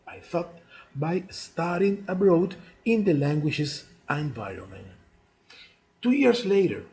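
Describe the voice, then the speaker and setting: A man in his sixties from Cuba, a native Spanish speaker with a Cuban accent, telling his life story in deliberate, halting non-native English. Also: on the high side, a little mellow, poor audio. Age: 60s. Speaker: chess player narrating own life story. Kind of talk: autobiographical monologue.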